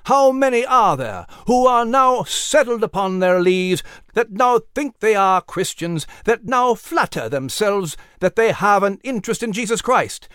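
A woman's voice: medium pitch, lively intonation, measured pace, a bit bright, smooth, no echo, studio quality. A woman playing a fervent male revival preacher in a historical story, with a solemn, imploring, oratorical delivery. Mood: fervent, stern.

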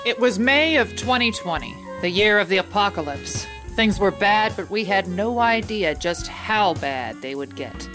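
A woman's voice: mid-range voice, animated, a little slow, hardly any pauses, loud music behind the voice, natural voice. Actress as wry female private eye, hard-boiled. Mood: wry, world-weary. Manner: dry, sardonic. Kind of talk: radio play noir narration and dialogue.

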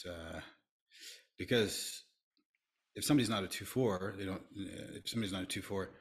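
A man's voice: sits low, vocal fry. A middle-aged man speaking earnestly as a podcast guest, often restarting and repeating himself. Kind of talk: reflective interview talk.

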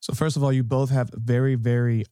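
A man's voice: medium pitch, flat delivery, fairly quick, dark tone, clear, resonant tone, no room sound, clean studio sound. A man hosting an interview in an upbeat, chatty way, asking long rambling questions. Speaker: interview host.

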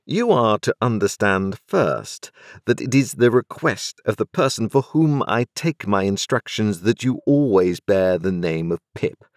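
A man reads an overbearing storybook lawyer who speaks in clipped, formal phrases and presses every question like a cross-examination. His voice sits higher and louder than the deep narration around it, and it swings widely in pitch. The sound is close and dry. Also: lively intonation, a little slow, no room sound. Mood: stern, formal. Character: brusque, overbearing middle-aged male lawyer. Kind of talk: audiobook character voice.

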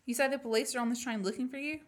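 A woman's voice: high-pitched, steady tone, rapid-fire, lightly acted. A woman playing a haughty young lady train passenger in a radio play. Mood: coolly agreeable. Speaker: student actor.

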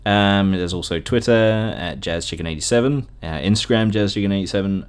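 A man with a fairly low voice hosts a casual solo podcast. He rambles in a jokey, informal way, cutting into his own sentences as he goes. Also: brisk, clear, resonant tone. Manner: rambling, jokey, self-interrupting. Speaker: podcast host.

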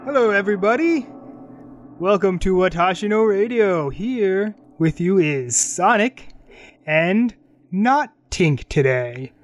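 A man's voice: high voice, lively intonation, slow pace. A man hosting an anime radio show, chatting casually and introducing songs.

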